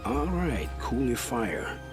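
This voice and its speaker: An actor playing an evasive, defensive scout and ruin scavenger in a video game, brusque and folksy. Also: male voice, medium pitch, sing-song, takes it slowly, over music. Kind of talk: video game character dialogue.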